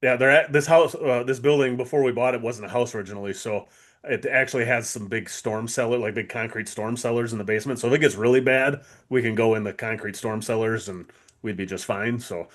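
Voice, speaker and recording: An American man co-hosts a podcast in an informal, conversational way. He talks quickly in a medium-pitched voice. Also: steady tone. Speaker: podcast co-host. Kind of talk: casual podcast chat.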